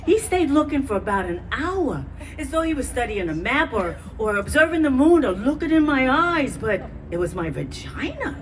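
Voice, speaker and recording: A woman's voice: high-pitched, very animated. An actress playing a woman fondly recalling an intimate awakening, telling it as a dramatic, savouring monologue at a staged reading. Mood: awed, playful. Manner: dramatic, savouring storyteller with deliberate pauses. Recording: distant mic, noisy live venue.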